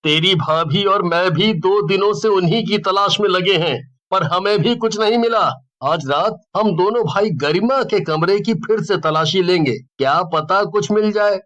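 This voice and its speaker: A selfish, complaining brother, acted by a man speaks in a strikingly high voice. He draws his words out slowly and whines, in dramatized storybook style. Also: fairly bright tone, lo-fi sound. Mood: frustrated. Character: selfish, grumbling brother in a family horror tale.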